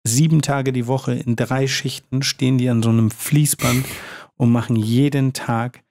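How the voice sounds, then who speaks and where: A man's voice: medium pitch, steady tone, moderate pace, darkish tone, no room sound, clean studio sound. A man talking as an interview guest in casual, slangy, colloquial speech. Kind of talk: casual chat.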